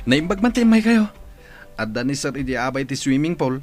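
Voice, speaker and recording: Man acting a lively Ilocano household servant. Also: medium pitch, a little fast, music in the background, natural voice. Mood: welcoming, chatty. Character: chatty, respectful male servant at a mansion. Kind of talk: Ilocano radio drama acting.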